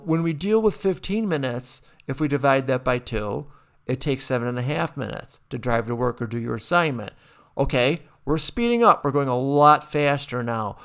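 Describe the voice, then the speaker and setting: A man's voice: fairly high pitch, fairly bright tone. A man, a college math instructor, giving a conversational recorded lecture over a screen share, asking rhetorical questions and restarting his sentences often.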